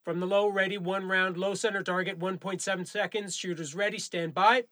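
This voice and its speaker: An actor playing a firm but encouraging firearms range instructor in an audio drama, giving clipped commands with genial authority. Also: male voice, high voice, fairly even intonation, a little fast, sharp tone. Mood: commanding. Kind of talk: naturalistic audio drama acting.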